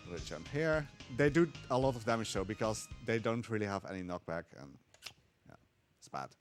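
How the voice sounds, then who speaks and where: A man calmly explaining tricks while playing a game live, with frequent fillers. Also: on the low side, normal speed, soft background music. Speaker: speedrunner. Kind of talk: live speedrun commentary.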